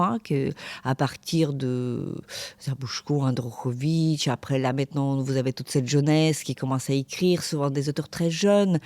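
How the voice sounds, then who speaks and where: A woman's voice: low voice, a little mellow, no echo. A woman hosting a conversational interview, thoughtfully building her questions phrase by phrase. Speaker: podcast host, interviewer.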